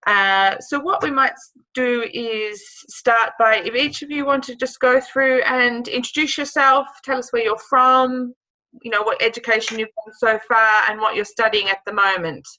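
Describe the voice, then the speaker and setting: A woman hosting a live online Q&A over a video call, guiding the students with friendly, measured questions. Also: fairly high pitch, steady tone, pushed and bright, low-quality recording. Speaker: host.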